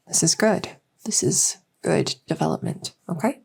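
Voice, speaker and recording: A woman playing a gentle fae doctor in a soft-spoken ASMR medical roleplay, reassuring and unhurried, sometimes slipping toward a whisper. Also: fairly low pitch, very animated, vocal fry, husky, exaggerated voice. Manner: unhurried, reassuring, hesitant pauses. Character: gentle female fae doctor with buggy wings and scaly tail. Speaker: audio roleplay performer.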